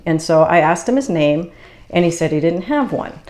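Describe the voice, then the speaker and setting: A woman with a notably low-pitched voice talks in a calm, unhurried way, as if chatting to viewers about her own experiences. She is from the Boston area and speaks as a spiritual channeler hosting her own video. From Boston area, USA. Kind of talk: casual explanatory monologue.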